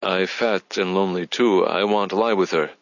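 A man's voice: low-pitched, normal speed. An eager, awkward German tourist, acted by a male audiobook reader, speaks with a German accent in blunt, broken English. His phrases are short and clumsy. The sound is dull and roomy. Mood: pleading.

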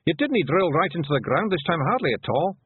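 A man voicing a gruff little male pixie chief with a Scottish accent and a blunt, rough way of talking. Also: a little high, very animated, a little fast, dry recording, voice pushed up, heavily acted. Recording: tinny narrow-band audio.

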